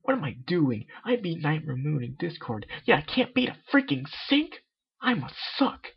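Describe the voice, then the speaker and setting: A man's voice: fairly high pitch, very animated, moderate pace, gravelly, slightly rough, voice pushed up, heavily acted. A man voicing a brash, boastful female pegasus pony in a fan story reading, with dramatic exclaiming. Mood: frustrated. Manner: dramatic, self-important exclaiming. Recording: dull lo-fi home recording.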